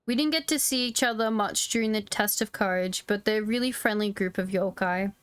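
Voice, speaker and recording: A woman's voice: medium pitch, a little fast, smooth. A woman streaming a game casually, reading its dialogue aloud into a close mic.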